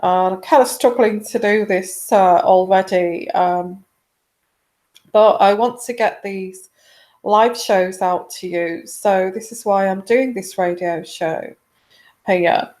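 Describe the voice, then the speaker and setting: A woman hosts a live intuitive reading stream, her voice quiet and strained by a sore throat. She talks slowly and unhurriedly, stumbling through fillers and restarts as she greets viewers. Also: mid-range voice. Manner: hesitant, many ums and restarts. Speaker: host of a live tarot reading show. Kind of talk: casual live-stream chat.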